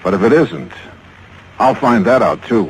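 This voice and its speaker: Lively hard-boiled radio investigator, American man. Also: fairly low pitch, measured pace, heavy background noise. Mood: probing. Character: shrewd, hard-boiled male insurance investigator. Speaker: radio drama lead and narrator. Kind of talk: mid-century American radio detective acting. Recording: vintage radio recording with music underneath.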